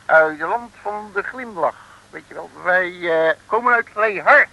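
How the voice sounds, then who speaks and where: An actor playing a sly, cheeky detective in an old Dutch comic radio serial, talking slangy mock pidgin as a pretend mandarin. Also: male voice, sits high, measured pace, vocal fry, pushed and bright, heavily acted, poor audio. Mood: cheeky, scheming.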